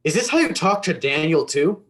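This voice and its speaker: A man playing a protective, confrontational army veteran father in his thirties, blunt and forceful in a dramatic reading. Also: on the high side, very animated, moderate pace.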